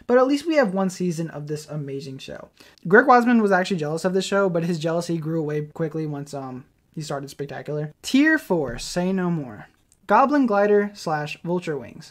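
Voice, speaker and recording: Male video essayist, rapid delivery, informal fan commentary. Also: a little high.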